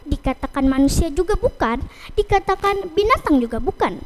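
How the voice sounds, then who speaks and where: High-voiced woman telling a folktale. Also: lively intonation, moderate pace. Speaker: storyteller. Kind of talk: children's folktale storytelling. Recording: noticeable background noise.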